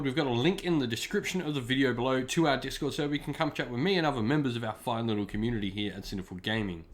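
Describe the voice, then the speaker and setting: A man hosting an informal review, talking conversationally to viewers and softening his points with small asides. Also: a little high. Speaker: channel host, book reviewer. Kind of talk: informal scripted-outline review commentary to viewers.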